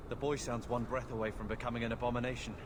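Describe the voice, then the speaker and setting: An actor playing a grim, urgent adventuring companion in dramatic video game dialogue. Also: male voice, mid-range voice, level tone, quick pace, background noise, lightly acted. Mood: grimly urgent.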